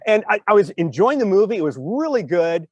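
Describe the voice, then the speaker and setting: A man with a somewhat high voice talks as a podcast host. He explains things casually in a rambling, animated way, voicing reactions as he goes. The sound is very dry, with no room to it. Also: a little fast, no room sound, highs cut off. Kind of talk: casual discussion of storytelling. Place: remote video call.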